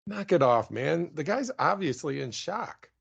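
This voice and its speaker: A kind-hearted sailor in a radio drama, earnest and casual. His voice is raspy with a gravelly edge, and the recording sounds a little muffled. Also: on the high side, sing-song, a little slow, heavily acted. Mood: insistent. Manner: earnest, urgent, casual modern speech. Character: concerned, kind-hearted male sailor rescuing a castaway.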